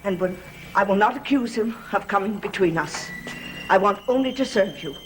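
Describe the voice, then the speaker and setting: An actress playing a devoted, scheming manor servant with a solemn, formal, submissive way of speaking, in a gothic soap. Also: female voice, mid-range voice, expressive, normal speed, slight background noise, close to an everyday voice, poor audio. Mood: contrite. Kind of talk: 1960s gothic soap opera acting. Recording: old TV soundtrack with background score.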